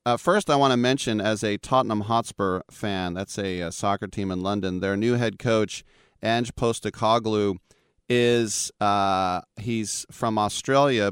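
An American man with a clean, resonant voice on the low side hosts a talk show. His delivery is casual radio hosting, recorded very dry and close. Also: clear, resonant tone, no room sound. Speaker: sports talk radio host.

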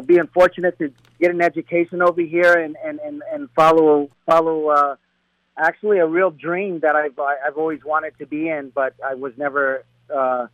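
A man's voice: fairly high pitch, dry recording, muffled recording. Rambling Colombian-born man, dry sound. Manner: rambling, many restarts and fillers. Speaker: boxing trainer, guest. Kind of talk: casual interview talk.

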